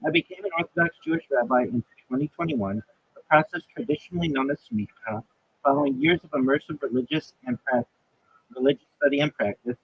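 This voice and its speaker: A man, a rabbi and livestreamer, reading a prepared self-introduction in a measured, list-like way with occasional restarts. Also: fairly high pitch, big pitch swings, creaky, husky, no echo, phone-quality sound. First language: not Hebrew.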